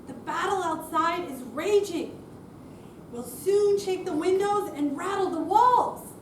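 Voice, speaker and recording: A woman's voice: very high voice, animated, slow. A woman performing in readers theater, reciting verse lyrics. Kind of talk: dramatic recital of song lyrics. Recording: distant echoey room, faint noise.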